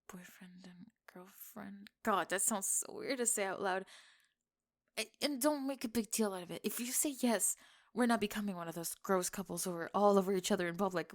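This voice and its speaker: A woman playing a young tomboy friend in an intimate ASMR roleplay, halting and flustered, teasing gruffly and often slipping into whispers. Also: medium pitch, close to an everyday voice. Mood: shy, nervous, then flustered, defensive.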